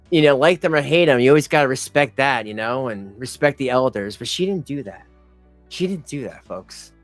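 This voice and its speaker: A man's voice: medium pitch, no echo. A man giving mocking commentary over a clip, speaking to his viewers as folks. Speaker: commentary streamer. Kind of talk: reaction commentary.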